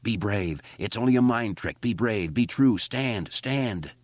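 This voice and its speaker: A man puts on a defiant young boy for an audiobook. The voice is raised and lifted higher than the narration, and it stammers a little. The recording is dry and slightly muffled. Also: big pitch swings, measured pace, a little mellow, no echo. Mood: urging. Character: brave, defiant young boy facing a monster. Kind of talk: audiobook character voice.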